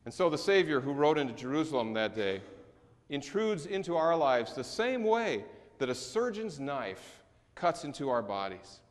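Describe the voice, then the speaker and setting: A middle-aged male pastor preaching a sermon in a large church sanctuary, with measured delivery, slow emphatic stresses and falling endings. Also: a little high, big pitch swings. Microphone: distant camera recording in the sanctuary. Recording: long church reverb.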